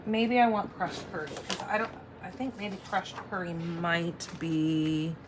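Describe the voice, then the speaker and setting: A woman in her forties hosting a casual live craft demo, chatty and thinking out loud as she works. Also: lowish voice, steady tone, unhurried, noisy background. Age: 40s. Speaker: crafting host and instructor.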